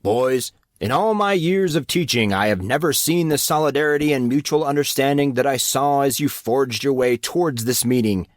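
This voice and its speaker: A man's voice: medium pitch, normal speed, hardly any pauses, no room sound, studio quality, pitched above the performer's own voice, close to an everyday voice. A man voicing a pompous, long-winded sports coach in an audiobook, making grand speeches. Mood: grandiose. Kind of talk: audiobook character acting.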